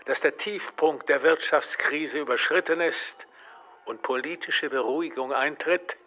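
An actor playing a solemn 1930s businessman who reads a formal, measured address in a radio play. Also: on the high side, natural voice. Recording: dull lo-fi radio-like sound.

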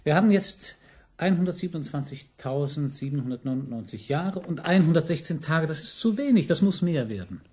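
A man's voice: a little high, expressive, fairly quick, darkish tone, slightly rough. A man acting a deferential, calculating councillor to a prince in a radio play, reporting in a matter-of-fact way. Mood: pressing.

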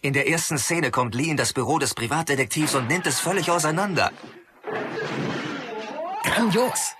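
An actor playing a keen commentator, explaining things with enthusiasm in a documentary-style radio play. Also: male voice, fairly high pitch, expressive, normal speed, close to an everyday voice. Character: keen male commentator on a martial arts star. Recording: clear background noise with clicks.

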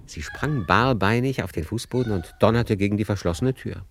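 A man narrating a literary radio play in a measured, lyrical storytelling manner. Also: lowish voice, noisy background.

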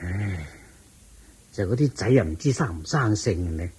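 Husky, low-voiced rich old master. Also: male voice, lively intonation, a little slow, darkish tone, heavily acted. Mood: worried, weary. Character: wealthy self-satisfied old master, merchant and landlord. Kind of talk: Cantonese radio drama acting.

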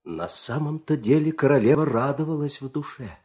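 A man narrating a fairy-tale audio play in an expressive, scripted storytelling style. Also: on the high side, moderate pace.

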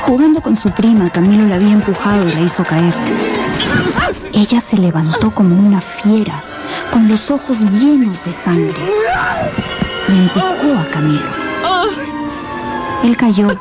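A woman's voice: medium pitch, expressive, unhurried, long pauses. Woman narrating a radio novel over music. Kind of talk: scripted dramatic storytelling for a radio novel.

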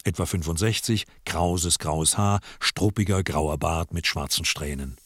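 A man narrating a radio play from a script in a dramatic style, with clipped, deliberate phrasing. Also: sits low, fairly bright tone, slightly rough, dry recording, studio quality.

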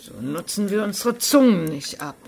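Lively, blunt servant woman. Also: medium pitch, normal speed, gravelly, a little hiss or hum. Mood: blunt, dry. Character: plain-spoken, dry female household servant. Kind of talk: German radio drama acting.

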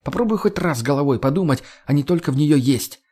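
A man's voice: fairly high pitch, lively intonation, brisk, darkish tone, voice pushed up. A man voicing a brash, sarcastic, foul-mouthed young woman in an audiobook, slangy and argumentative. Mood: bossy. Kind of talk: audiobook character voice.

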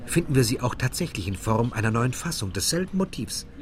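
An actor playing an earnest male interpreter translating a lecture in a radio play, measured and explanatory. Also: medium pitch, sing-song, crowd noise, natural voice. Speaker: interpreter voice-over.

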